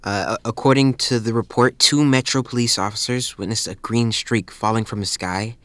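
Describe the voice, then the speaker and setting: A man playing an earnest, nervous student who presents haltingly to a class in an audio drama. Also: medium pitch, steady tone, fairly bright tone, no room sound, close to an everyday voice. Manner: halting, stumbling, hesitant.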